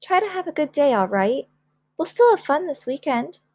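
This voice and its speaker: A woman voicing a gentle mother in a children's storybook, soothing and affectionate. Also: a little high, expressive, normal speed, husky. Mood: consoling. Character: gentle, reassuring mother of a young girl.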